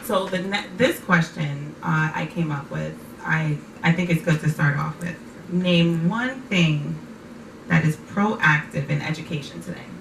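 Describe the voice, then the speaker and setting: Low gravelly woman hosting a chat, echoey room, mic kinda far off. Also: unhurried, a bit bright, slight background noise. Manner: affirming, repeats words back. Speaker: podcast host. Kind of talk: casual conversation.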